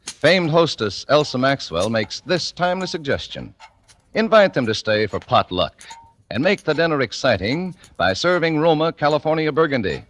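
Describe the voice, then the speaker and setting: A man's voice: on the high side, big pitch swings, moderate pace, fairly bright tone. A man announcing for a sponsor in polished, salesmanlike 1940s radio advertising style.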